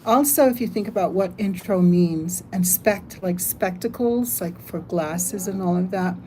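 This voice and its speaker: An older woman from the United States hosting a casual live stream, chatting, reading comments aloud and thinking out loud. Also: lowish voice, unhurried, slight background noise, low-quality recording. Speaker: livestream host.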